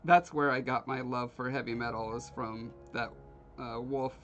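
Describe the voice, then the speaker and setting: A man from Alaska hosts a craft livestream, rambling and joking with his viewers while he works. His voice sits in a middle pitch. The sound is a little dull, with faint music playing underneath. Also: fairly even intonation, normal speed, fairly bright tone. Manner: rambling, joking with live chat. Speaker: livestream host. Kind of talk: casual chat while carving.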